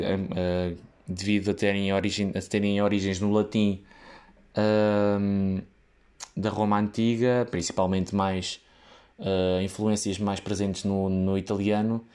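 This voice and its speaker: A young man speaks in a European Portuguese accent, his voice sitting fairly low. He tells a casual story from his job as a waiter, drifting into side tracks and correcting himself often. His delivery is loose and chatty, with plenty of fillers. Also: little pitch movement, unhurried, darkish tone. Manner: rambling, digressive, many fillers and restarts. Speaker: waiter telling a work story.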